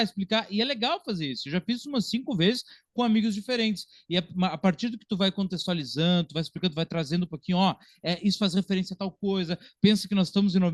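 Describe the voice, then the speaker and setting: A man, co-hosting a casual film discussion in an animated, chatty way. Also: high-pitched, dry recording, studio quality. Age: early 30s. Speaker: podcast co-host and film critic.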